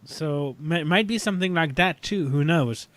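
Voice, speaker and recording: A man talking casually as a podcast panelist about sci-fi television, thinking aloud in a halting way. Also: fairly high pitch, a bit bright, dry recording.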